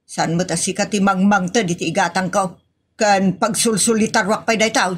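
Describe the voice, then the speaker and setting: A woman voicing a nagging, quick-tempered wife in a comedic audio drama, complaining and scolding. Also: mid-range voice, steady tone, breathy edge, lightly acted.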